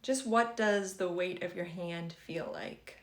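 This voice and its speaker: A woman leading a guided meditation for beginners in a gentle, conversational and reassuring way. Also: fairly low pitch, measured pace. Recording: roomy, echoing sound.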